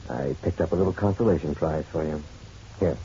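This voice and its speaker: A low-voiced hardboiled private eye. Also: male voice, mellow, a little hiss or hum. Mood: wry. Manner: wry, unhurried, tough. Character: hardboiled male private detective, dry and worldly. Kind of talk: radio drama acting with noir first-person narration. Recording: dull lo-fi radio drama recording.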